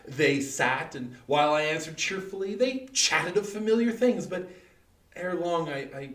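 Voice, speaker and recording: A man's voice: mid-range voice, lightly acted. Man acting a wildly swinging, nervous gothic madman. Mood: growing unease. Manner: theatrical, halting, swinging from hushed to frantic. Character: nervous, gloating madman confessing a murder. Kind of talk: dramatic reading of Victorian gothic horror. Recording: roomy, echoing, distant-mic livestream.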